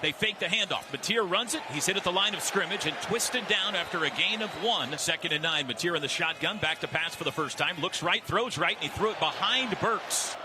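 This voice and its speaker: A man's voice: high-pitched, fairly quick, few pauses, background noise. An American man calling football play-by-play on the radio in clipped, punchy, emphatic phrases. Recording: radio broadcast under loud music. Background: loud music.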